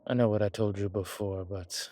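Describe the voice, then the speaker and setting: A man playing an old, vain, genteel vampire in an intimate audio drama, speaking formally and theatrically with hesitant pauses. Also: lowish voice, steady tone, warm tone, no echo, natural voice. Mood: rueful, hesitant.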